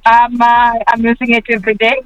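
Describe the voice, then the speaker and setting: A woman calling into a morning radio show, cheerful and warm in casual chat. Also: a little high, monotone, a little fast, smooth, dry recording, highs cut off, faint music behind. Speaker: radio caller.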